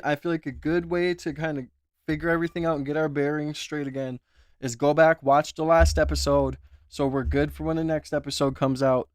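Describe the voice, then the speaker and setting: A man's voice: medium pitch, smooth, no room sound, clean studio sound. Chatty male streamer with a sore throat. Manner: chatty, asks for likes and reads chat aloud. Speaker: livestream host. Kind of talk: casual live commentary.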